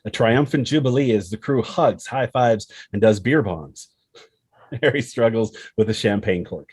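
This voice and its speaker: A male narrator reads screenplay action and scene headings. His voice is fairly low and raspy, recorded dry with no room sound. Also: measured pace, darkish tone, no echo. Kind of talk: scripted screenplay narration, reading stage directions.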